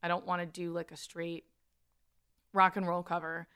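Smooth-voiced woman, friendly musician guest. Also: on the low side, little pitch movement, moderate pace, a bit bright. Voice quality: slight grit in the voice. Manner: friendly and rambling, with frequent laughs. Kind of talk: casual interview chat. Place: radio studio.

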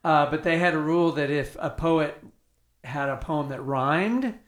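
A woman's voice: low-pitched, measured pace. A woman hosting an interview, chatty and teasing, prone to side tangents. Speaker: radio host. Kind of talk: casual interview.

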